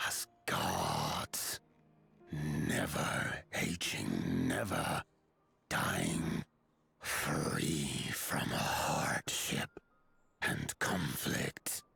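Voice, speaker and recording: A very deep, gravelly giant elder. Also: male voice, sharp tone, full character voice. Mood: reverent. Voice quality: deep, gravelly, breathy at phrase ends. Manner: slow, stately, formal archaic phrasing. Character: solemn giant elder of an ancient mountain people. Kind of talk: scripted fantasy game dialogue.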